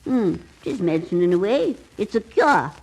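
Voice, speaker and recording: A woman's voice: medium pitch, very animated, a little mellow, husky, low-quality recording. A woman playing an ancient, eccentric, kindly and sly aunt in a radio comedy, dithery and hesitant. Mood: coaxing, sly. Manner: dithery, hesitant with many ums and pauses.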